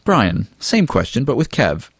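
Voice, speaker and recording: Guy doing an exasperated earnest young male critter in an audiobook, bristling, pitch jumps around a lot. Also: big pitch swings, a little slow, husky, dry recording, studio quality, exaggerated voice. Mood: probing. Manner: insistent, exasperated, moralizing. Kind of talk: audiobook character dialogue.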